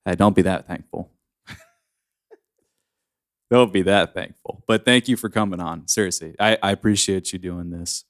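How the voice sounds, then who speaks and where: A man hosts a casual interview chat. He has a low-pitched voice. He jokes with his guest and often stops and restarts his sentences. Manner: friendly, joking, halting. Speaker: podcast host.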